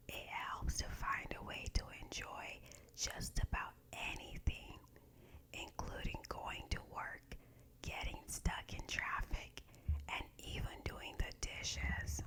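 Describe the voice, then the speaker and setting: Woman doing asmr meditation reading, all breathy whisper, really slow and soft. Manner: soft, slow, deliberate phrasing. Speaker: ASMR host reading aloud from a meditation book.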